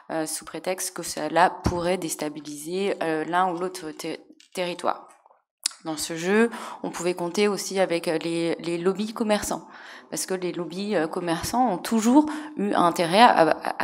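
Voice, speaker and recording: A woman historian on a conference panel, answering audience questions with many pauses and restarts. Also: on the low side. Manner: hesitant, many fillers and restarts.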